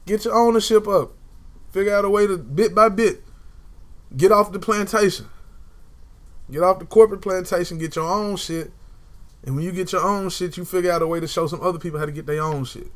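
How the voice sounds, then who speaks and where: A man's voice: high-pitched, brisk. A young man in casual conversation, talking emphatically and repeating phrases to drive a point home. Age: young adult.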